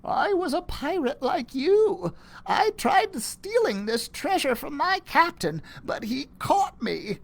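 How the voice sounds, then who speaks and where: A high, piping old woman who once sailed as a pirate, in a children's storybook, put on by a male reader. She speaks in slow, swooping tones, spinning her tale of the curse. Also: sing-song, gravelly, heavily acted. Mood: wistful.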